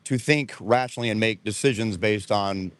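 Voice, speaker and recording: A man who travels the world debating casually with a street interviewer outdoors, talkative and quick to argue back. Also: on the low side, dry recording. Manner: talkative, argumentative, chuckling. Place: outdoors at a beach.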